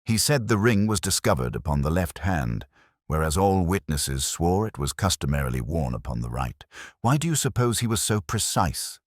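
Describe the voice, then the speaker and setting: A man reading the part of a gentleman detective from a Victorian audiobook tale. His voice is very deep and dry, with expressive rises and falls. He lectures with cool, formal precision. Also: normal speed, dry recording. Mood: probing, measured. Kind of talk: audiobook character dialogue.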